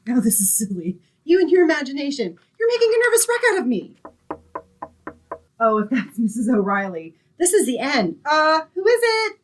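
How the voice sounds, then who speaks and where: A woman acting a sensible, sarcastic, exasperated roommate in an old-time radio comedy, with a husky voice and dry, impatient delivery. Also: high-pitched, big pitch swings, moderate pace, soft background music.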